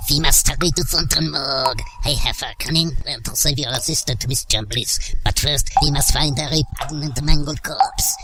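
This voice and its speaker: A scheming, urgent comedy professor, acted by a man, with a conspiratorial, melodramatic delivery. Loud noise and clicks cover the medium-pitched voice in this audio-play scene. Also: moderate pace, hardly any pauses, lightly acted. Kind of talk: comic audio drama acting.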